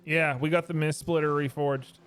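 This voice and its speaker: A man's voice: fairly high pitch, fairly even intonation, normal speed, fairly bright tone, clear, resonant tone. A man giving casual, sarcastic reactions as a streamer playing a game. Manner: informal, sarcastic. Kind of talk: casual gameplay reaction chat. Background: game music.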